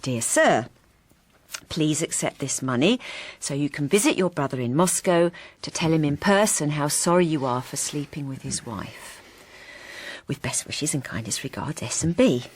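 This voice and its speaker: A woman's voice: low-pitched, expressive, moderate pace. An actress playing a lonely, wistful housewife narrating her own story in a radio drama, confiding and understated. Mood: dry, gentle.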